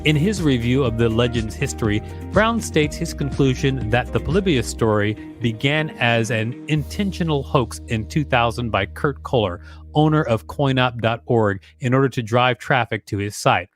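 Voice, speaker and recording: A man co-hosting a podcast, reading an encyclopedia article aloud at a steady pace and adding relaxed quick asides. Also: mid-range voice. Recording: music bed underneath.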